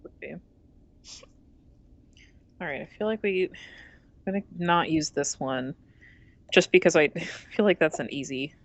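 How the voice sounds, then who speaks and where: A woman's voice: sits low, animated, a little slow, pauses often, no room sound. A woman casually chatting on a game stream, rambling with lots of fillers and giggles. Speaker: streamer and co-host.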